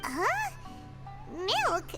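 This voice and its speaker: A woman voicing a tiny, chirpy, cheeky girl fairy guide in an anime-style video game, with a squeaky childlike voice and excitable manner. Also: extremely slow, exaggerated voice. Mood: cheeky, questioning. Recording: game music behind the voice.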